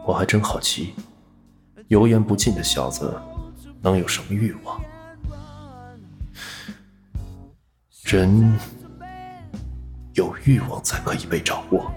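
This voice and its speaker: Audio drama casino boss: lower register, silky menace, suave command, music underneath. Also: male voice, unhurried, long pauses, darkish tone. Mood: amused probing. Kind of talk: Mandarin audio drama acting.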